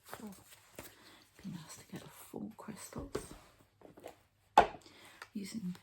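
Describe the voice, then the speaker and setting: A woman softly whispering an ASMR healing ritual, breathy and halting, as if thinking aloud. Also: medium pitch, expressive, very noisy. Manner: unhurried, halting, thinking aloud while handling objects. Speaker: host, energy healer and card reader.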